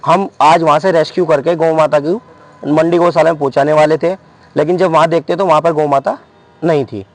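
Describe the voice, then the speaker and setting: A man with a western Uttar Pradesh accent speaks off the cuff as an activist making a complaint. His voice is pitched somewhat high for a man. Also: steady tone, a little fast, no echo, rough recording. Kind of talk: spontaneous spoken complaint.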